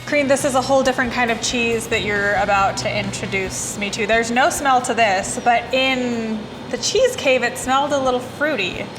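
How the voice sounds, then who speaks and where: A woman hosting a TV food show, interviewing a cheesemaker with eager, quick reactions. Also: fairly high pitch, over music, poor audio. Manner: enthusiastic, quick back-channel replies. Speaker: host.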